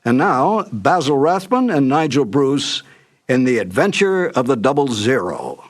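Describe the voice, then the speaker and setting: A man delivers scripted narration in a medium-pitched voice. His delivery is formal and measured, as a narrator introducing a program. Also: very animated. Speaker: narrator introducing a radio drama.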